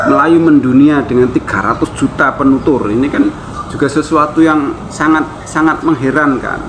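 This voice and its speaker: A man's voice: mid-range voice, background noise. An Indonesian man giving an informal opinion monologue to viewers, conversational, repeating phrases for emphasis and asking rhetorical questions. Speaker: YouTube commentator, host. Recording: loud tinkling mallet music under voice. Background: loud glockenspiel and marimba music.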